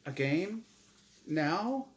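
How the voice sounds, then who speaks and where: A man's voice: sits high, sing-song, extremely slow, pitched above the performer's own voice, exaggerated voice. A man voicing a meek, bewildered, anxious man in a storybook reading, plaintive and questioning. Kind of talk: storybook character voice in dramatic reading.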